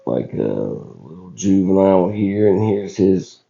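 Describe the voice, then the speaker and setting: Deep, slow, gravelly hoarse man. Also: big pitch swings, dark tone. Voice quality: rough, scratchy voice. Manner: folksy, halting, colloquial. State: hoarse, recovering from illness. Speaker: video channel host. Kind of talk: casual footage commentary. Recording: dull lo-fi recording.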